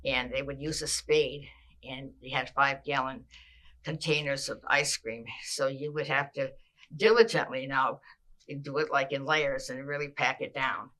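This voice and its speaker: An older woman from Massachusetts, recalling her childhood in an oral history interview, with a measured pace and frequent pauses. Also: a bass voice, fairly bright tone.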